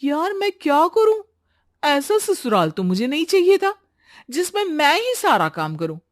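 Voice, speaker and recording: A woman voicing an outspoken young bride-to-be in an expressive, emphatic cartoon story style. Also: high voice, a little slow, no room sound, clean studio sound, pitched above the performer's own voice. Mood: exasperated. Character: Neha, outspoken young bride-to-be, chirpy, sometimes exasperated. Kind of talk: animated Hindi story voice acting.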